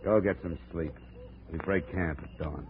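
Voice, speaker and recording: A man's voice: sits low, measured pace. A man acting a tough, cynical investigator in a 1950s radio detective drama, speaking in a clipped, hard-boiled manner. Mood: hard, resolute. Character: tough, cynical insurance investigator leading a jungle manhunt. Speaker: narrator and lead actor. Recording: scratchy, narrow-band 1950s radio recording.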